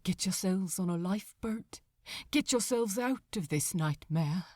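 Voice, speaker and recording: Storybook role, female reader: courteous male shipbuilder resigned to his fate, hushed, broken, trembling delivery, dry sound. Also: medium pitch, lively intonation, no room sound. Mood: quiet, urgent. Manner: quiet, halting, formal. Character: Thomas Andrews, grief-broken, courteous gentleman shipbuilder resigned to death.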